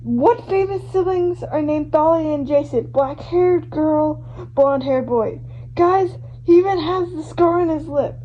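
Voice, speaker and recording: A woman voicing excitable, exclamatory young social media fans in a dramatised reading, on a homemade recording. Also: high-pitched, a little slow, voice pushed up. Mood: incredulous. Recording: muffled, noisy amateur home recording.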